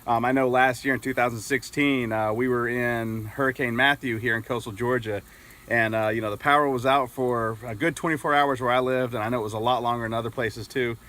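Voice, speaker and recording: A man's voice: medium pitch, fairly even intonation, a little fast, bright, forceful tone. A middle-aged American man talking casually and a little hesitantly as he explains a product outdoors. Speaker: product reviewer. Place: outdoors in an open grassy yard with trees.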